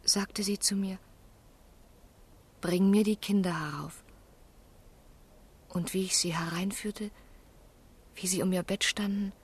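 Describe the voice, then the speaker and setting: Brief: a gentle, sorrowful eighteenth-century young woman, extremely breathy and almost whispering, mid-range pitch. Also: fairly even intonation, slow pace, long pauses. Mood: grieving, tender. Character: tender, melancholy young woman of the 1770s. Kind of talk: literary radio drama.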